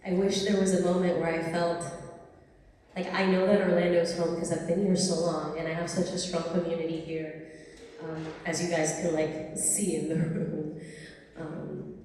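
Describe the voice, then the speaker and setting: A woman's voice: on the low side, level tone, normal speed, darkish tone, distant mic, slight background noise. Chatty woman singer in an echoey hall. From Dominican Republic. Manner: chatty, loose, full of "like" fillers, laughs easily. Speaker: singer and gigging musician. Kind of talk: casual onstage interview chat. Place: live music venue. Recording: echoey hall heard from afar.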